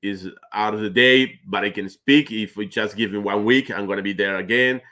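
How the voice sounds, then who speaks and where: A man whose first language is Spanish, speaking as a guest in a live video-call interview, halting and restarting often with repeated words. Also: lowish voice, fairly even intonation, pushed and bright. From South America. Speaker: guest, finance manager.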